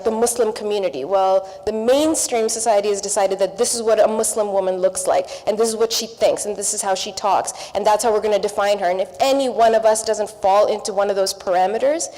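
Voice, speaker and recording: A woman's voice: mid-range voice, steady tone, brisk, mellow. A Pakistan-born woman, English not her first language, speaking earnestly at a seminar panel and listing her points in repeated phrases. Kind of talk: panel discussion remarks. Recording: reverberant hall.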